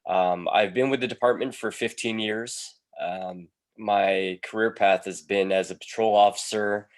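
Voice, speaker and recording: A man, a police sergeant giving a presentation over a video call, sounding congested from a cold and pausing often with ums. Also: on the low side, fairly even intonation. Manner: hesitant, many ums between phrases.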